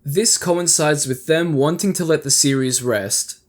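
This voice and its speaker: A man's voice: fairly high pitch, unhurried. A man narrating a scripted video essay as a YouTube host, conversational with playful asides.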